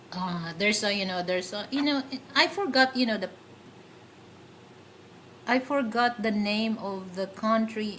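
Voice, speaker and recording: A woman's voice: mid-range voice, a bit bright, slight background noise, rough recording. A woman with a Filipino accent hosting a casual livestream, chatty and full of fillers and giggles. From Philippines. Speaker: livestream host.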